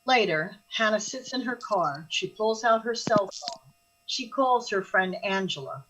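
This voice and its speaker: A woman's voice: mid-range voice, animated, a little slow, fairly bright tone, a little hiss or hum, poor audio. A woman reading scripted narration and stage directions for a radio drama.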